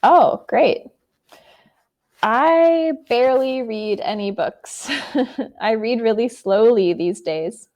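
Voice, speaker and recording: A woman's voice: fairly high pitch, slow, darkish tone. A woman from the United States tutoring English in an online conversation over a video call, speaking encouragingly with frequent fillers.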